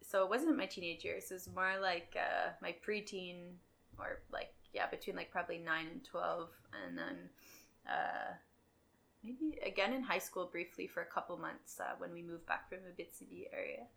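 A woman's voice: fairly low pitch, very animated, moderate pace, creaky, fairly bright tone. A young adult woman from Quebec, a podcast guest, talking candidly and casually about her past, laughing often and swearing now and then. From Quebec, Canada. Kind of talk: casual conversational storytelling.

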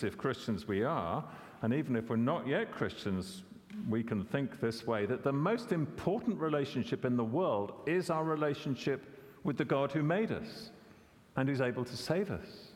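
Guy giving a sermon, voice goes up and down a lot, big echoey church hall. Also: medium pitch. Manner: conversational, asks questions, self-corrects with repeats. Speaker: preacher. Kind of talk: expository sermon.

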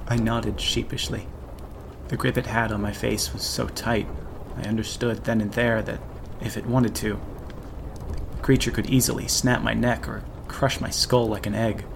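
Creaky low-voiced man narrating horror. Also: little pitch movement, moderate pace, a little hiss or hum. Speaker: narrator and actor. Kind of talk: dramatic horror story narration.

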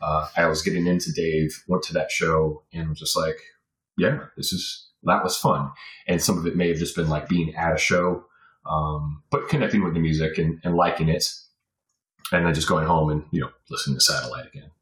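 Low-voiced american guy in his 40s chatting on a podcast, rambly, roomy echo. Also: steady tone, moderate pace, fairly bright tone. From Virginia, USA. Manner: rambling, punctuated with "you know". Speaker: podcast guest. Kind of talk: casual reminiscing in an interview. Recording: roomy, echoey sound.

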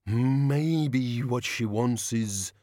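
A man's voice: medium pitch, mellow, no echo, clean studio sound. Man voicing a leering drawling bogeyman. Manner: slow taunting drawl. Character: menacing hairy male bogeyman, leering. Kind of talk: audiobook character voice.

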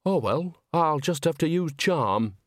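A man's voice: a little high, lively intonation, unhurried, dry recording, clean studio sound, voice pushed up. A man voicing a chatty, homely, cheeky old woman witch in an audiobook, folksy and rambling. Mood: wheedling, resigned. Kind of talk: audiobook character acting.

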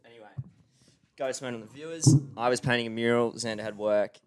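A man's voice: medium pitch, brisk. A man, co-hosting a podcast in easygoing banter. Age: 20s. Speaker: podcast co-host. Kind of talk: casual chat.

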